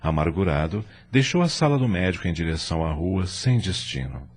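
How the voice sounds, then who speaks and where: A man's voice: deep voice, animated, a little fast. A man narrating an audio novel in a dramatic, scripted storytelling style. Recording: music bed behind narration.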